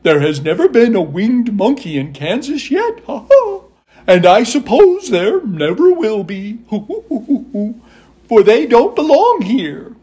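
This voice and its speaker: A man's voice: high voice, very animated, slow, warm tone, husky, pitched above the performer's own voice, exaggerated voice. A man voicing a gleeful winged monkey king in a storybook, breaking into cackles between phrases. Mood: gleeful, teasing. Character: giggling boastful male winged monkey king.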